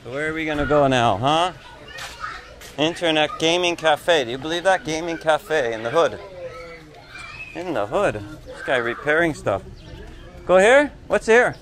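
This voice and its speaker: A man's voice: a little high, lively intonation, a little slow, long pauses, fairly bright tone. A middle-aged man from Canada walking through a village and chatting with locals for his travel vlog, in short simple phrases. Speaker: travel vlogger. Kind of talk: casual walking vlog chat. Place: outdoors in a busy village neighborhood. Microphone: action camera held or worn on body. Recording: outdoor crowd and street noise.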